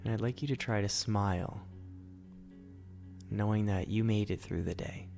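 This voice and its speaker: A man leading a guided sleep meditation as host, in a soothing, gentle, instructional manner. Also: on the low side, fairly even intonation, normal speed, creaky, soft background music.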